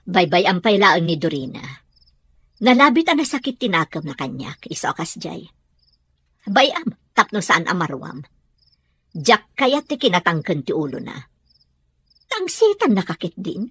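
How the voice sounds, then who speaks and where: Weary Ilocano mother, put on by a woman: lowered voice, stern and exasperated, podcast drama. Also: very animated, measured pace, long pauses, a bit bright, slightly rough, no echo, exaggerated voice. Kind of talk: comedy-drama podcast acting.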